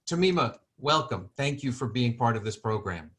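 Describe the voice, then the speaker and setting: A man's voice: mid-range voice, big pitch swings, moderate pace, a bit bright. A man hosting an online museum program who speaks in an earnest way, often restarting his questions. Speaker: host. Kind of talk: formal welcome and interview. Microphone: video call.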